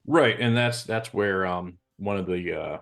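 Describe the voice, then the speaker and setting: A man, a specialist co-host, giving an informal technical explanation in a measured way with pauses. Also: low-pitched, gravelly, a bit bright.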